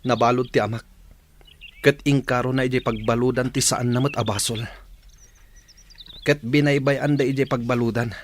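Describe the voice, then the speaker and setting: A man's voice: mid-range voice, steady tone, moderate pace, pauses often, natural voice. A man with an Ilocano accent acting an earnest young man bent on justice for his father in a radio drama, with noise behind him. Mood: grave, aggrieved.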